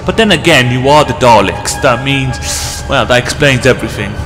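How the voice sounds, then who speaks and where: A man's voice: medium pitch, lively intonation, moderate pace, big-room sound, music in the background, natural voice, rough recording. Man playing a breezy, flippant time-travelling adventurer. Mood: breezy, teasing. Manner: breezy, teasing, chatty. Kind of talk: amateur fan audio drama acting.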